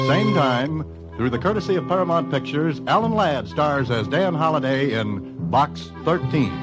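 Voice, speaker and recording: Animated American radio announcer, man, over music. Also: mid-range voice, low-quality recording. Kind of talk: 1940s scripted radio announcement. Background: orchestral music.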